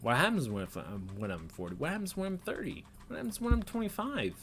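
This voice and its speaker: A man's voice: a little high, very animated, fast talker, fairly bright tone. A man in his twenties rambling self-critically in an unedited personal vlog, with nervous laughs and many fillers, recorded in a small bedroom on a desk webcam. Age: 20s. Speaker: vlogger.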